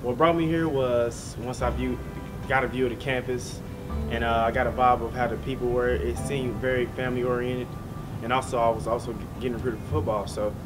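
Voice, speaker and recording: Young guy, fast flat delivery, rambles a bit, music loud under it. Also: mid-range voice. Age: young adult. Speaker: student interviewee. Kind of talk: casual interview answers.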